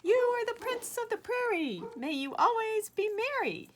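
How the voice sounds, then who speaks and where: Woman putting on a high, singsong little meadowlark. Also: big pitch swings, normal speed, fairly bright tone, a little hiss or hum, full character voice. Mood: cheerful singing. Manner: singsong, high-flown phrasing. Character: small sweet singing female meadowlark bird. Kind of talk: children's storybook read-aloud.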